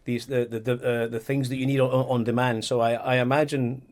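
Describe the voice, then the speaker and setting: A man co-hosting a podcast, asking long questions in a halting way and repeating words as he goes. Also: medium pitch, level tone, a little mellow. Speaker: podcast co-host. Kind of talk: casual interview chat.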